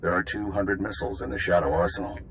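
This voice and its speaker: An actor playing a confident spymaster in a video game, briefing a covert squad with authority. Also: male voice, deep voice, quick pace, a bit bright, a little hiss or hum, low-quality recording. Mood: assured briefing.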